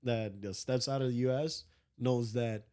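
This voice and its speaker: A man from Cuba with a Cuban accent, hosting a podcast and reacting warmly, calling his guest bro. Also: mid-range voice, fairly even intonation, measured pace, clear, resonant tone. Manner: friendly, affirming, says bro a lot. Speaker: podcast host. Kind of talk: casual interview talk.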